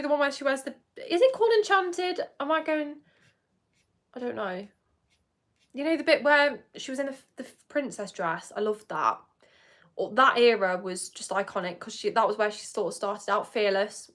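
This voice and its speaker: A woman's voice: medium pitch, fairly bright tone. A young woman hosting a livestream, chatting casually with viewers in a rambling, giggly way. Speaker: beauty livestream host. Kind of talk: casual chat with live viewers. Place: home, indoors. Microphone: phone or webcam.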